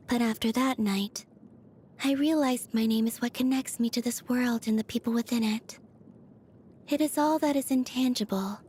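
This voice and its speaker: A woman playing a gentle, wistful young moon maiden in video game voice lines, soft-spoken, breathy and measured, telling stories with otherworldly calm. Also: on the high side, a little hiss or hum, close to an everyday voice. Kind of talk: video game character voice lines, storytelling monologue.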